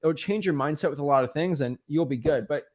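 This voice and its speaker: Young man, pakistani accent, animated, slightly high pitch, casual coaching chat. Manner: fast, animated, repeats "right?" and "guys". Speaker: real estate wholesaling coach.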